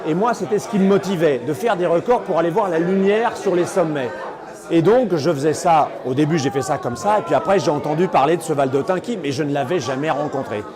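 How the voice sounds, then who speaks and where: A man's voice: on the high side, brisk. A middle-aged man speaking spontaneously and effusively in a personal interview, like an actor telling his own story. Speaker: stage and screen actor giving a testimonial. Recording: crowd chatter behind. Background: busy social gathering.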